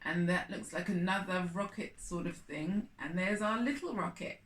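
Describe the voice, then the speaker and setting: A woman's voice: lowish voice, normal speed, fairly bright tone, a little hiss or hum. A woman hosting a children's storytime, reading a picture book aloud expressively with chatty asides.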